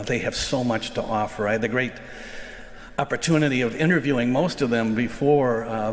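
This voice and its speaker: Older American priest in echoey church. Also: male voice, mid-range voice. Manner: earnest, reflective, slows to stress key phrases. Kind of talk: sermon. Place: large church sanctuary, indoors. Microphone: lectern microphone. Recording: echoey church livestream with long reverb.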